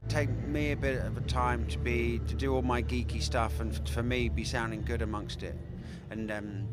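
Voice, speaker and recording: A man's voice: medium pitch, steady tone, moderate pace. A man who plays guitar in a band, answering hesitantly and self-deprecatingly with many fillers. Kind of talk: interview answers. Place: outdoors at a music festival.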